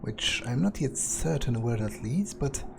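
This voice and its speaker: Male gamer, in-character vampire musing, slow and halting, mid pitch, noisy ambience behind. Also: animated, gravelly. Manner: musing, halting, muttering to himself. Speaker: gamer playing through a role-playing game in character. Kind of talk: in-character game playthrough, thinking aloud.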